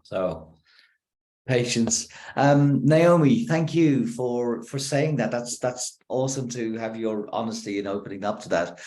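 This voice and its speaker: An Irish man, a professor, giving a conversational talk on communication over a video call, with quick bursts of speech broken by deliberate pauses. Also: mid-range voice, darkish tone, low-quality recording. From Ireland.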